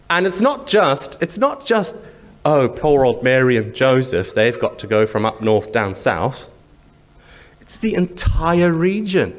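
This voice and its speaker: Animated Zimbabwean male preacher. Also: mid-range voice. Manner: conversational and explanatory, with rhetorical questions and repetition. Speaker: church preacher. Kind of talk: sermon.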